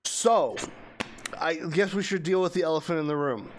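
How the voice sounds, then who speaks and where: A man's voice: a little high, normal speed. A man hosting a casual video game playthrough, commenting as he plays with friends. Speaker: host playing the game.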